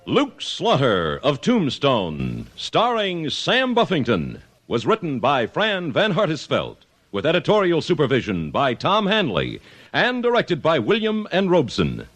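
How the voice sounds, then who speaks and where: Dramatic, swooping American radio announcer man. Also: fairly high pitch. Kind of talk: 1950s radio announcing, scripted. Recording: old 1950s radio broadcast recording.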